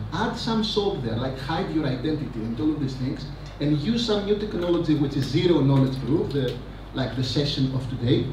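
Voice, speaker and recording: A man giving a rambling, energetic conference talk on stage, full of fillers and asides. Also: fairly high pitch. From Greece. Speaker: conference speaker. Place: large conference hall. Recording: echoey hall, mic from a distance, noisy.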